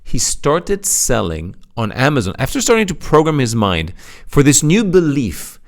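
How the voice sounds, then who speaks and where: A man's voice: mid-range voice, very animated, moderate pace. A man giving a motivational sales talk, pressing his points with emphatic swings. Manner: emphatic, rising and falling for effect. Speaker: speaker presenting to an audience.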